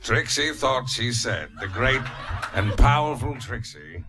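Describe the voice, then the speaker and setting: A man's voice: mid-range voice, big pitch swings, unhurried. A man voicing a boastful, showy female unicorn magician at a live script reading, talking about herself in the third person. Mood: haughty.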